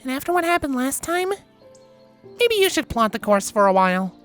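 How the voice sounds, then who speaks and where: A man voicing a young female alicorn pony in a story reading, gentle, hesitant and a little embarrassed. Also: very high-pitched, sing-song, normal speed, faint music behind, pitched above the performer's own voice, full character voice. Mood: uneasy.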